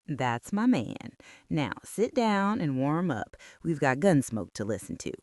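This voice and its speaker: A woman plays an older wife, firm but gently teasing. Her lowish voice rises and falls in lively coaxing, in a dry-sounding comic radio skit. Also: a little slow, dry recording, heavily acted. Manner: scolding yet coaxing.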